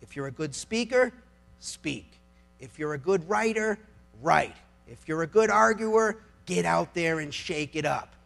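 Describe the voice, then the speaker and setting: A man's voice: fairly high pitch, expressive, unhurried, gravelly, a bit bright. An American man giving a persuasive public speech, speaking emphatically in plain colloquial language and repeating phrases for effect.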